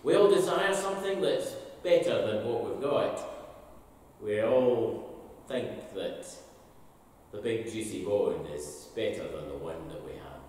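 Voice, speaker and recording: A man's voice: lowish voice, a little hiss or hum. Older man telling a story in an echoing church. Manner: storytelling, slow and expressive. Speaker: minister. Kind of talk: all-age children's talk. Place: church sanctuary, large indoor hall. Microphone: far camera, pulpit mic. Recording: echoey church hall from a distance.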